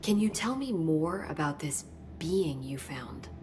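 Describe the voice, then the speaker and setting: A woman's voice: low voice, animated, a little slow, noisy background. A woman playing an inquisitive, earnest government researcher in a video game, explaining things as she goes. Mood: curious, earnest. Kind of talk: video game cutscene acting.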